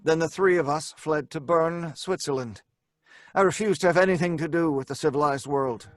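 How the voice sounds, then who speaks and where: A man acts out a haunted scientist in an old-time radio horror play. His voice sits slightly high for a man, frantic and melodramatic, with faint music behind it. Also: moderate pace, no room sound, natural voice. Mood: grave, haunted. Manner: melodramatic, stammering when speaking in dialogue. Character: haunted, frantic, evasive scientist telling his own tale. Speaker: narrator and lead actor.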